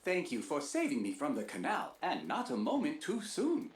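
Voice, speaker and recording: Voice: medium pitch, wildly swooping pitch, normal speed. An actor voicing a polite, formal, slightly fretful robot comrade in a video game, with precise, wordy phrasing. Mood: grateful, formal. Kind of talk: scripted video game voice acting. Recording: roomy echo, faint background noise.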